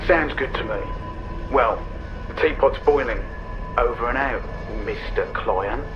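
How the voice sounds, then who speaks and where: An actor playing a breezy, cynical shady contact over the radio in a video game, flippant and mocking. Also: male voice, medium pitch, unhurried, over music. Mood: casual. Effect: radio transmission. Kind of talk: video game cutscene acting. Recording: muffled, very noisy radio link.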